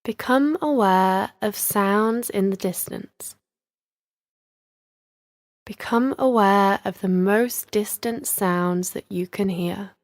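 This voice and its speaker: A woman leading a guided yoga nidra relaxation as a teacher, speaking in a soothing, measured, instructional manner with gentle pauses. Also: medium pitch, no room sound, clean studio sound.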